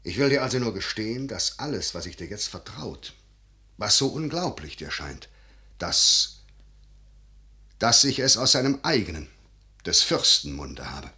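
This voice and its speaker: A man's voice: on the low side, big pitch swings, measured pace, pauses often, pushed and bright, full character voice. An actor playing a grave, calculating older father and general in a classical radio verse drama, with solemn, emphatic declamation. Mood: earnest, persuading.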